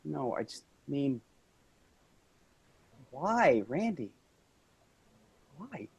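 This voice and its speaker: A man's voice: medium pitch, big pitch swings, extremely slow, creaky, a little mellow, slightly rough, exaggerated voice. A man in a staged play reading, playing an earnest, appalled office worker who pleads with those around him. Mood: distressed, pleading.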